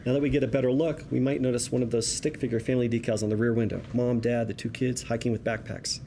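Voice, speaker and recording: A man narrates screenplay action as the stage-direction reader. His voice is medium in pitch with a soft, dark tone. A little noise sits behind him. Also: steady tone, fast talker, creaky.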